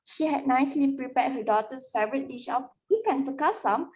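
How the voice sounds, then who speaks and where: A woman playing a storyteller who recounts a sad ghost tale in a halting, emotive dramatic reading. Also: darkish tone. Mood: sorrowful. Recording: muffled low-fidelity audio.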